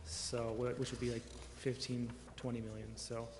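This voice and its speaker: A man's voice: mid-range voice, level tone, moderate pace. A man giving an informal explanation at a public meeting as a staff member, hesitant and thinking aloud. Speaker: town staff member answering council questions. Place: indoor council chamber. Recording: echoey meeting chamber, faint background noise.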